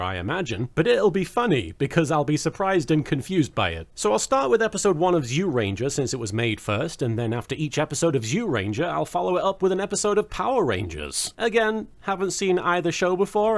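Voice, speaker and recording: A man presenting a casual, scripted reaction commentary. His pitch sits a little high and swings in a very lively way, and his delivery is wry and tongue-in-cheek. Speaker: video host, reviewer.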